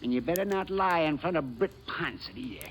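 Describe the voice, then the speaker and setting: An actor playing a cranky, suspicious old prospector with folksy, hectoring American speech in a radio western. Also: male voice, on the high side, expressive, fairly quick, fairly bright tone. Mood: accusing, indignant. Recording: vintage radio recording with background hiss.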